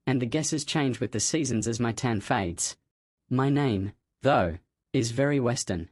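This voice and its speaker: A man's voice: mid-range voice, a little mellow, dry recording, studio quality. A man reading aloud a first-person online story in a plain, matter-of-fact way. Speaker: narrator.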